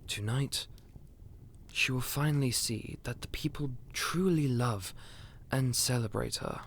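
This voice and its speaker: A man playing a courteous, loyal royal aide in a fantasy audio roleplay, speaking formally and with measured courtesy. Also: medium pitch, fairly bright tone, slight background noise. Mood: earnest, measured.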